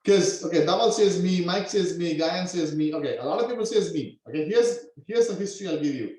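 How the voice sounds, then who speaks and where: A man from Sri Lanka teaches a live online class, full of energy and constantly checking in with "okay?". His voice sits a little high for a man. The sound bounces hard around a very echoey room. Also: a little fast. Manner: energetic, peppers talk with "okay?". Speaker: online business coach.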